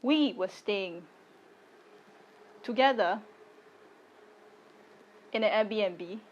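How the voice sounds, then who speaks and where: Firm, measured young woman. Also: mid-range voice, dull audio. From Singapore. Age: late 20s. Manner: deliberate and firm, speaking in short measured phrases. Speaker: content creator. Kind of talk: personal video statement.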